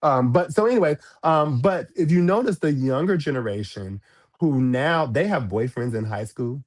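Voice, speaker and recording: A man's voice: mid-range voice, animated, normal speed. A man in his forties, a guest and drag performer, telling stories in a casual podcast interview with many restarts. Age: 40s. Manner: storytelling, frequent restarts and repeated words. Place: podcast studio.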